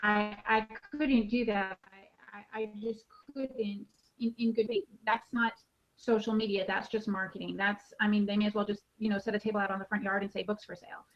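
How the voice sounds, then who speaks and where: A woman's voice: mid-range voice, level tone, moderate pace. Friendly anecdotal woman co-host, muffled lo-fi audio. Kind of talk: live online panel chat.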